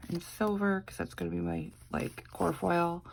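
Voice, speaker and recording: A young woman talks through a planner video while sick and congested. Her voice sits low and rough with heavy vocal fry. She rambles casually, full of fillers, over a faint hiss of background noise. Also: big pitch swings, normal speed. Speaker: planner vlogger. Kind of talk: casual chat.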